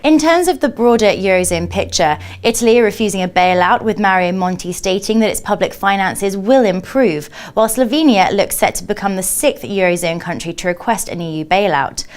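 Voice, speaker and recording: A woman's voice: mid-range voice, lively intonation, a little fast, hardly any pauses. A woman working as a television journalist, delivering scripted questions in a broadcast news interview.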